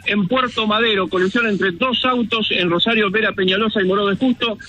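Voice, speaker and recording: An Argentine man delivers a traffic bulletin on the radio. His voice sits high for a man and has a bright, forceful edge. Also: steady tone, fairly quick, muffled recording. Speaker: traffic reporter.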